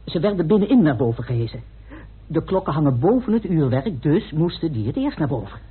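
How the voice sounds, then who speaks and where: An actor playing a methodical researcher explaining evidence precisely in a Dutch radio play. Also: male voice, fairly high pitch, very animated, a little fast, breathy edge, full character voice. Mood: methodical, earnest. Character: Peacock, methodical, meticulous male researcher laying out evidence. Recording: muffled, band-limited old radio recording.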